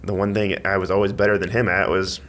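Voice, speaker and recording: A man chatting casually as a podcast guest, in a halting, rambling way as he thinks aloud. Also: deep voice, little pitch movement, a bit bright, a little hiss or hum. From Missouri, United States. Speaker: podcast guest, amateur athlete and school IT worker. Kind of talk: casual interview chat.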